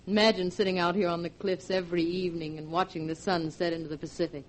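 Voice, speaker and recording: Actress playing a young 1940s radio drama heroine. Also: female voice, fairly low pitch, little pitch movement, fast, slight background noise, lightly acted, poor audio. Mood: coy, knowing. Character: young heiress and her coy, sophisticated actress half-sister. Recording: vintage 1940s radio broadcast.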